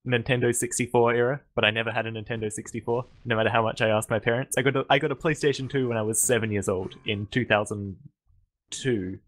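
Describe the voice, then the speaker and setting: A young man around twenty, co-casting a stream, talking in relaxed, hesitant casual chat. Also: mid-range voice, quick pace. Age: young adult. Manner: hesitant, relaxed, trails off with fillers. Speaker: co-caster.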